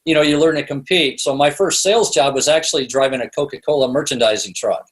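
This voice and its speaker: A man's voice: on the high side, fast talker. A middle-aged man speaking as an interview guest, telling stories in a casual, chatty, rambling way. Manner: chatty, rambling, peppered with "you know". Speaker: podcast guest, sales expert and author. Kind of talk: casual anecdotal interview storytelling.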